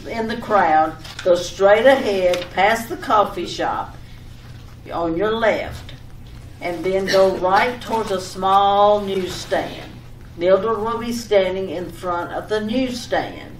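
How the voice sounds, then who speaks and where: An echoey, distant recording with faint noise carries an insistent wife from an old radio comedy. She rambles breezily through her explanations at a middle pitch, coaxing with mild exasperation. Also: animated, takes it slowly. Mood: insistent, over-explaining. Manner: chattering, coaxing, over-explaining. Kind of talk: old-time radio domestic comedy acting.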